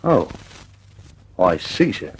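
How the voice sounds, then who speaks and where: An actor in an old radio drama playing a polite, reluctant plainclothes policeman, formal and halting. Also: low voice, sing-song, breathy edge, heavily acted, poor audio. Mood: formal, apologetic.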